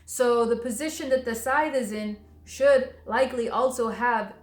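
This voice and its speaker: A woman speaks casually and at length to her viewers, circling back on her words and leaning on frequent fillers. Her voice sits a little higher than most, and the room around her gives it a clear echo. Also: normal speed. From southern Texas near the Mexican border. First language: English. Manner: chatty, many fillers and restarts. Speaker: devotional video creator. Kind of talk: casual talk to camera.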